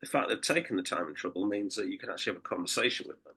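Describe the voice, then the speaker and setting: A croaky man talking casually on a podcast. His voice sits low and sounds husky. He rambles and circles back on his sentences. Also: expressive, very fast, bright, forceful tone. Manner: rambling, conversational, with many restarts and fillers. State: croaky voice after a late night. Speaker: podcast co-host and political campaigner. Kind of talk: casual chat.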